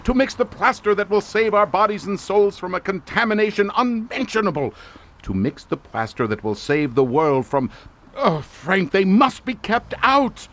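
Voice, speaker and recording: An actor playing a feverish, grandiose researcher near madness, declaiming with portentous fervor in a horror audio drama. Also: male voice, sits high, big pitch swings, moderate pace, a bit bright. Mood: frantic, urgent. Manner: theatrical, portentous, fervent declamation.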